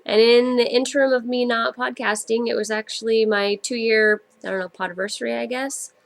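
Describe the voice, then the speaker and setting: A woman's voice: medium pitch, low-quality recording. Stuffy-nosed woman rambling podcast host. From United States. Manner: rambling, many ums and self-corrections. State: stuffed up with a cold. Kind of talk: casual solo podcast chat.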